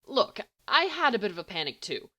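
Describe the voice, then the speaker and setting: Brash, placating teenage boy, played by a woman narrator, emphatic and talkative, very lively intonation, audiobook. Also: mid-range voice, a little fast. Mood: joking, excited. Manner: emphatic, rambling. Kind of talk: acted audiobook dialogue.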